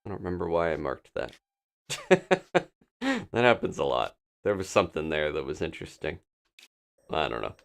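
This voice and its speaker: A man's voice: rumbling low, very animated, moderate pace, gravelly, long pauses. A man giving casual gameplay commentary as a streamer, rambling as he thinks aloud. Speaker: game streamer.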